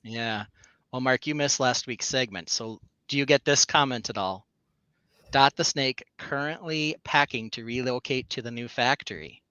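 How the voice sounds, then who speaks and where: A man's voice: mid-range voice, moderate pace, pushed and bright, clear, resonant tone, no room sound. A man co-hosting a casual live stream, rambling along with plenty of fillers and restarts. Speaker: co-host.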